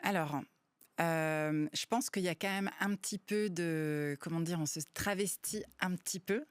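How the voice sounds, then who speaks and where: Thoughtful French woman, lowish voice. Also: unhurried, smooth, dry recording, studio quality. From France. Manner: thoughtful, hesitant, searching for words. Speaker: panel guest, entrepreneur. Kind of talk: casual panel discussion.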